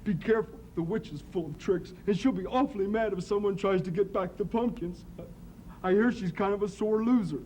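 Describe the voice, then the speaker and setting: A man voicing a gentle, worried male scarecrow who frets anxiously. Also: high voice, brisk, slight background noise, voice pushed up, lightly acted, rough recording. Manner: anxious, fretful.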